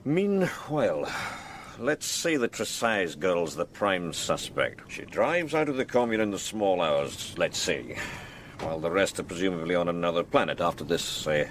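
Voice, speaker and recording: Deep-voiced, wry senior detective. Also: male voice, very animated, moderate pace, slight background noise. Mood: musing, sceptical. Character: wry senior detective leading a case briefing. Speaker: senior police officer. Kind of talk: radio drama acting.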